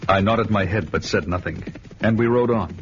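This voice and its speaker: An actor playing a grim, vengeful barbarian warrior who tells his saga in solemn, deliberate dramatic style. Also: fairly low pitch, noisy background, natural voice. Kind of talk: dramatic first-person radio narration and acting. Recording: dull lo-fi radio recording, loud music behind.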